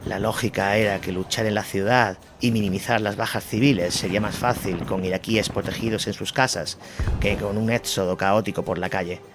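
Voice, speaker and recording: A man delivering a scripted war chronicle as a narrator, in a measured storytelling manner. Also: fairly low pitch, steady tone. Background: music behind the voice.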